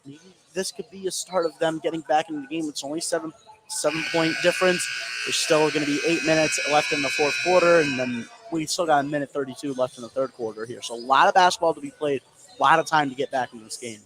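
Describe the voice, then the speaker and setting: A man's voice: on the high side, quick pace, a bit bright, poor audio. A man with an American accent giving conversational live analysis as a basketball color commentator. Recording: clear gym noise behind. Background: basketball game in a gym.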